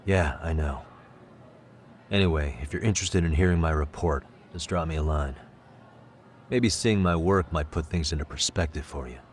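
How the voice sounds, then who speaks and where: An actor playing a calm, determined private detective in a video game dub, speaking in a measured way. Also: male voice, very deep voice, slight background noise. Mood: composed. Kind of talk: English game dub dialogue. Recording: music behind the voice.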